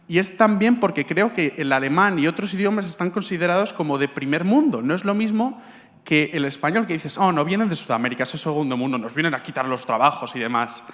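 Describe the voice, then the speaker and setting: A man from Spain with a Spanish accent asking a question from the audience, earnest and halting, with many fillers. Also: a little high, fairly bright tone. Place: lecture hall. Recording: long hall reverb.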